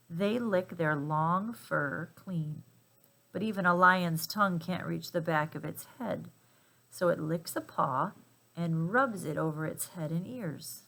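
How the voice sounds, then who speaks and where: A woman reading a children's picture book aloud in an expressive, emphatic and playful way for young listeners. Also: on the low side, creaky. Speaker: storybook reader.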